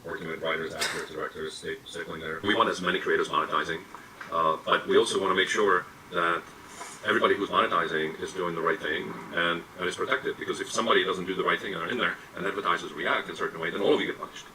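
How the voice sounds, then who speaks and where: A man answers interview questions quickly in a deep yet bright voice. He sounds hesitant and reassuring and leans on fillers. He is picked up from a distance, with faint room ambience behind him. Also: steady tone, slight background noise. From Czechoslovakia. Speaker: tech executive interviewee.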